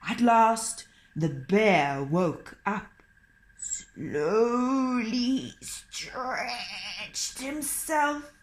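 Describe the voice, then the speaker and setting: A woman narrating a children's story in an expressive, dramatic and deliberate way. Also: mid-range voice.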